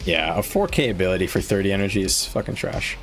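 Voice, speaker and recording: Male game streamer, slightly low voice, irritable and profane, mulling things over aloud with faint music underneath. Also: expressive, fast talker. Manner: frustrated, swearing, thinking aloud. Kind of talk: live gameplay commentary.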